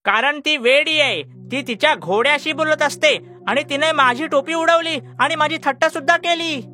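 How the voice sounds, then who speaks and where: A man playing a greedy, petulant young cowherd boy, whiny and complaining, in a children's animated fairy tale. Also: very high-pitched, normal speed, faint music behind, exaggerated voice. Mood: indignant.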